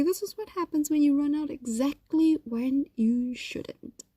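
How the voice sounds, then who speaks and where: A woman voicing a possessive, doting female fox demon in an intimate roleplay audio drama, coaxing and teasing in a soft, breathy voice that drifts into whispers. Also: a little high, heavily acted.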